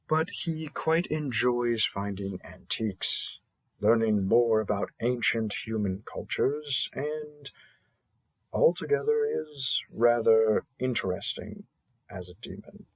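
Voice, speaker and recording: A man playing a cheerful dating-app host in a scripted roleplay, presenting matches with theatrical emphasis and a husky voice. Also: fairly low pitch, dry recording, low-quality recording. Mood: fond, encouraging. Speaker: matchmaking announcer. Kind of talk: scripted roleplay audio narration.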